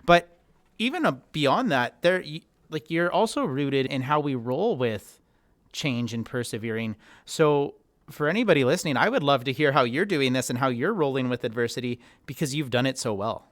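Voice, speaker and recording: A man's voice: mid-range voice, animated, moderate pace, a bit bright, no echo. Enthusiastic male podcast host, dry sound. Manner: enthusiastic, affirming, uses "razzle dazzle". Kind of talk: interview hosting.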